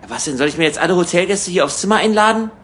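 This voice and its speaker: A man's voice: high voice, animated, racing, fairly bright tone. A man playing a restless, irritable emigrant in a hotel room, in conversational radio play dialogue. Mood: defensive. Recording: clear background ambience noise.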